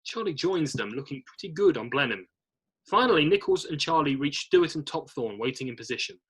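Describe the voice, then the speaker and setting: A man's voice: fairly high pitch, animated, fairly quick, husky, low-quality recording. A man narrating, reading screenplay stage directions aloud in an amateur read-through.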